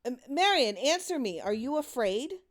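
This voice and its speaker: A woman's voice: sits high, sing-song, normal speed, voice pushed up. A woman voicing a frightened mother in a horror story, stammering and gasping as she moves from anxiety toward hysteria. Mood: anxious, pleading. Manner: stammering, gasping, repeating words. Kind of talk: dramatic horror story reading.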